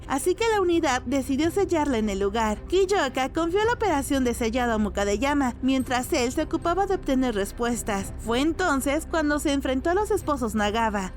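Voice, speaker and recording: A woman's voice: high-pitched, a little mellow. A woman giving a scripted narration as the storyteller of a dramatic recap.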